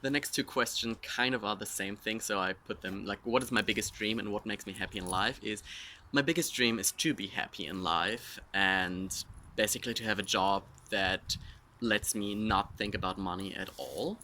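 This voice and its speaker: A man's voice: fairly low pitch, normal speed, sharp tone, slight background noise. A man in his twenties with a German accent, answering his followers' questions in a frank, self-mocking, rambling way. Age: 20s. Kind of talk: casual Q&A monologue.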